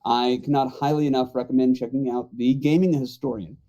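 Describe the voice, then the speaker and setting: A man's voice: medium pitch, fairly even intonation, brisk, mellow, lo-fi sound. A man talking casually as a podcast panelist, with a wry, joking manner. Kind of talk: casual chat.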